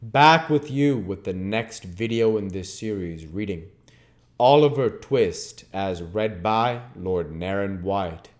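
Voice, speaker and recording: A man's voice: fairly low pitch, slow. A man reading a classic novel aloud as a dramatic, emphatic narrator. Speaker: audiobook reader.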